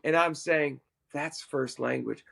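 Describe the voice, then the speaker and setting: A middle-aged man, a worship musician and podcast guest, telling a personal story in an animated, self-amused way. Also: fairly high pitch, slow pace, gravelly.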